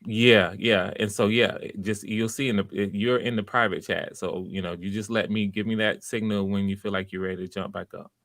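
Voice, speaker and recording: Man, lowish pitch, audition director, encouraging, halting conversational coaching, frequent restarts, dry recording. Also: fairly even intonation, a bit bright, no echo.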